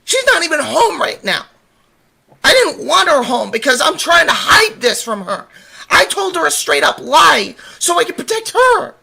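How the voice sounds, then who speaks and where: A woman's voice: high-pitched, very animated, normal speed, vocal fry, pushed and bright, heavily acted. A woman playing a stern, worried mother in an audio drama, questioning her teenage son with exasperation. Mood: exasperated, worried. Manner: scolding, pointed questioning. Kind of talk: amateur audio drama roleplay.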